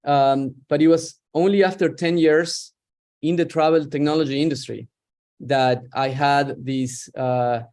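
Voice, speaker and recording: A man's voice: fairly high pitch, steady tone, a little slow, a little mellow. Middle-aged man, reflective. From Argentina. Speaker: guest, startup founder. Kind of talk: interview on a video call.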